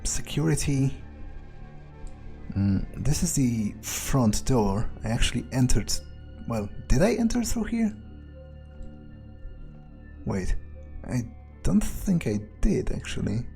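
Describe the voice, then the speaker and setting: A man playing a wary, crude, sardonic vampire in an improvised video game roleplay, muttering his thoughts with hesitant pauses. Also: mid-range voice, very animated, gravelly, dark tone, soft background music, heavily acted. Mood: musing, wary. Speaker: gamer playing in character.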